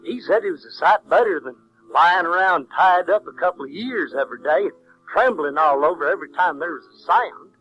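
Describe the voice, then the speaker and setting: A plainspoken Southern country boy narrating an audio drama. He sounds fairly high-voiced, folksy and wry, with an easy storytelling manner. Light music accompanies him. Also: expressive, brisk, bright, forceful tone, muffled recording. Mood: wry, easygoing. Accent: American South.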